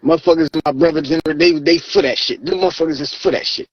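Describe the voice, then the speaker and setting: A man's voice: on the high side, fast talker, dull audio. Rambling man in his thirties. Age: 30s. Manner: rambling, repeats words while building a point. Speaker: podcast host. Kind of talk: casual chat.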